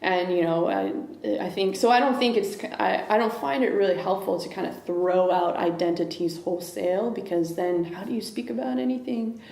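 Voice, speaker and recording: A woman's voice: mid-range voice, normal speed, gravelly, hardly any pauses, a little mellow. A woman, a guest in an interview, talking things through thoughtfully and often restarting her sentences. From United States. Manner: thoughtful, searching for words, many restarts. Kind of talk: reflective interview conversation. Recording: echoey room.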